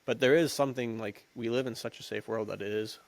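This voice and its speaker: Gravelly young American man chatting casually. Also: mid-range voice, fairly even intonation, quick pace. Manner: slangy, jokey storytelling. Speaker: podcast co-host. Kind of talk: casual chat.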